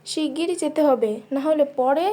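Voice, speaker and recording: A woman's voice: high voice, moderate pace, warm tone, natural voice. A woman voicing a small brave bird in a cartoon story, earnest, insistent and pleading. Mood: curious, urgent. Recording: music under the voice. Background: music.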